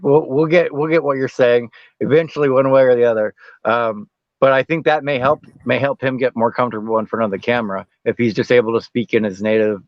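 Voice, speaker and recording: A man's voice: mid-range voice, dry recording, highs cut off. A man co-hosting a casual interview, talking in an easygoing, rambling, encouraging way.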